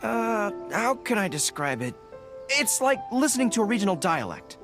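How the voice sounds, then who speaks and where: A woman's voice: mid-range voice, big pitch swings, normal speed, over music. A woman voicing an earnest, polite young male general with canine ears in a video game, often thinking aloud. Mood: thoughtful.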